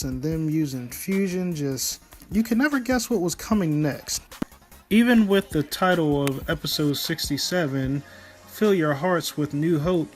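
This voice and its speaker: A man giving an excited, casual review as a video commentator. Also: on the high side. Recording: music behind the voice. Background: background music.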